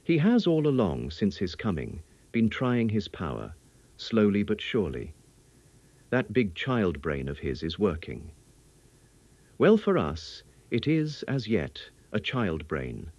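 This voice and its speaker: A man's voice: fairly low pitch, huge pitch swings, slow pace, darkish tone, dry recording, highs cut off, heavily acted. A man voicing a learned, earnest old professor in an audiobook, lecturing emphatically in quaint English. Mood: intent, cautionary. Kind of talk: audiobook character acting.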